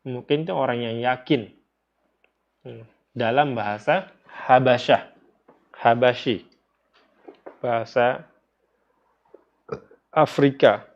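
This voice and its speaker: A man's voice: mid-range voice, takes it slowly, vocal fry, long pauses. A man with an Indonesian accent teaching in an informal lecture style, explaining step by step, repeating phrases and posing questions to his listeners. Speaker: religious teacher. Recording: dull, lo-fi audio.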